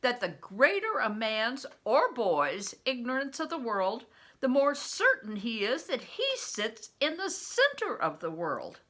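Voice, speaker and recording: A woman voicing a big, gruff, jovial street entertainer in a storybook, speaking emphatically and deliberately. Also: fairly high pitch, huge pitch swings, bright, forceful tone, pitched above the performer's own voice, exaggerated voice. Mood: scornful.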